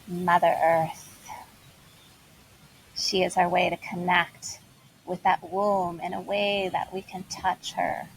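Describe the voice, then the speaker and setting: A woman leading a guided meditation in a slow, soothing, inviting way. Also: fairly low pitch, level tone, a little hiss or hum, poor audio. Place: Bali, Indonesia.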